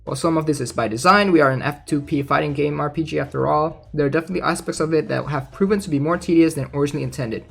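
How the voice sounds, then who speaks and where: A man's voice: a little high, racing, creaky, few pauses, slightly rough. A man giving casual commentary as he reads out game news, informal and thinking aloud. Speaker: gaming content creator. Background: background music.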